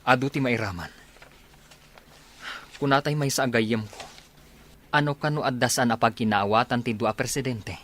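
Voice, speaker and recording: A man's voice: medium pitch, normal speed, pauses often, a bit bright, lightly acted. A man playing a compassionate, troubled war-zone aid worker with an Ilocano accent in a radio drama. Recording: faint background noise and ambience.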